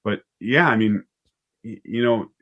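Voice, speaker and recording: Male, low-pitched voice, relaxed interview guest; a writer-director chatting through anecdotes. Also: unhurried, lo-fi sound. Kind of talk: casual interview chat.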